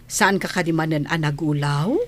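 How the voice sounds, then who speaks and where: A woman playing a caring, gently worried household nanny in a radio drama, with a gentle, doting manner. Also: low-pitched, moderate pace, slight background noise, lightly acted. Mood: fond, doting. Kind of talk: Filipino radio drama acting.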